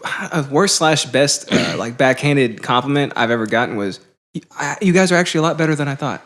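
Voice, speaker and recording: A young man co-hosting a podcast in casual chat, jokey and quick to laugh. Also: medium pitch. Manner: jokey, animated, laughs often. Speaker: podcast co-host.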